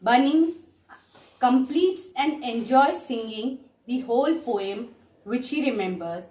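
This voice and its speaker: Slow, singsong woman storyteller in echoey room. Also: a little high, far from the mic. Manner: slow, deliberate, expressive. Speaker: storyteller and puppet show host. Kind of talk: scripted narration for children.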